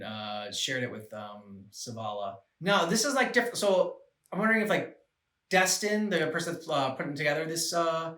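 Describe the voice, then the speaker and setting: A man co-hosting a reaction show, chatting casually about game scenes and haltingly thinking aloud. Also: medium pitch, sharp tone, recorded from a distance. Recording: echoey room, off the mic.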